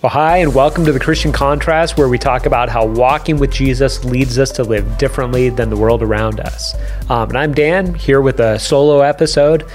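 A man in his forties hosting a solo podcast, earnest and thoughtful, slightly raspy from a cold, often restarting his sentences. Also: mid-range voice, moderate pace, music in the background. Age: 40s. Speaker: podcast host.

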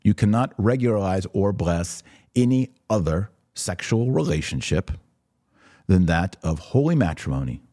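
Deep-voiced man, emphatic live commentary. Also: very animated, moderate pace, warm tone, dry recording, studio quality. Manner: emphatic, repeats short phrases for stress. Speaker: podcast host and religious commentator. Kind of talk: live commentary answering viewer questions.

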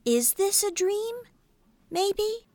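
A woman's voice: extremely high pitch, sing-song, very slow, darkish tone, no echo, full character voice. A woman playing a bewildered, complaining child in a family audio drama.

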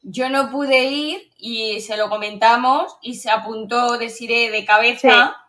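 A woman's voice: on the high side, steady tone, unhurried, bright, forceful tone. A woman chatting casually as a podcast co-host. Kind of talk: casual chat.

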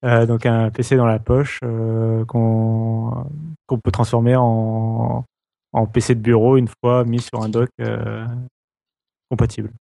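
A man's voice: medium pitch, monotone, slow, creaky, mellow, no room sound. A man reporting on tech news in a casual podcast chat, hesitant with many fillers.

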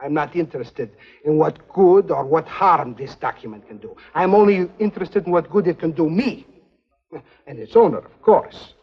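Husky, high-pitched, jovial greedy schemer. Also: male voice, normal speed. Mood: businesslike, greedy. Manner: jovial, chuckling, slippery, formal phrasing. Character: jovial, greedy European male go-between selling secrets. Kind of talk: 1950s television spy drama acting. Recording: dull 1950s TV film soundtrack.

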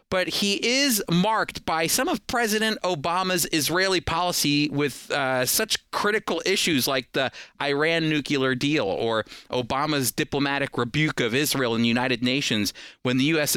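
A man's voice: fairly high pitch, bright, forceful tone, clean tone, no echo, studio quality. A man hosting a program, giving scripted political commentary to listeners in an emphatic, explanatory manner.

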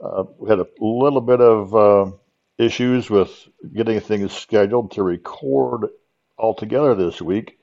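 A man's voice: fairly low pitch, measured pace, gravelly, warm tone. A man hosting a podcast in casual, unscripted chat, hesitant and rambling. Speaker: podcast host. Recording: slightly dull, lo-fi sound.

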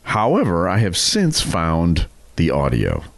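Middle-aged male co-host, deep voice, lively intonation, casual storyteller. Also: takes it slowly, gravelly, dry recording. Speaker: podcast co-host.

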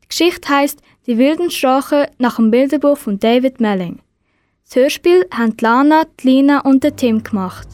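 A woman's voice: high voice, moderate pace, darkish tone, no echo. A woman presenting a scripted introduction on radio.

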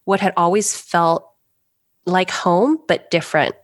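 A young woman and pastry chef answering interview questions earnestly, with soft laughs between thoughts. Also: on the low side, measured pace, no room sound, studio quality. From Los Angeles area, US. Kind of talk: reflective interview talk.

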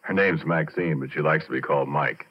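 An actor playing a retired, middle-aged oil-field roughneck, telling his story in colloquial, conversational 1940s radio drama style. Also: extremely low pitch, a little fast. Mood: folksy, chatty. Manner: colloquial, conversational, addressing the listener directly. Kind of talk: 1940s radio drama first-person narration. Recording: muffled vintage 1940s radio recording.

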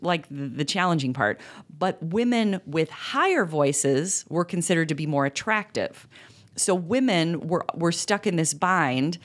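Low-voiced woman podcast co-host. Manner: earnest, explaining with many fillers and restarts. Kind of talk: casual conversational discussion.

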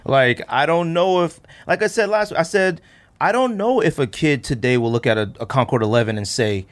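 A man's voice: fairly high pitch, expressive, moderate pace. A middle-aged American man hosting a podcast, talking casually in a conversational, slangy way. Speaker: podcast host. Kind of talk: casual chat.

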